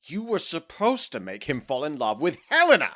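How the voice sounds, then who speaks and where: A man's voice: fairly high pitch, huge pitch swings, normal speed, bright, forceful tone, exaggerated voice. A man playing an indignant, bossy fairy king in a modern audio drama, fussy and scolding, with emphatic delivery. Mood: exasperated.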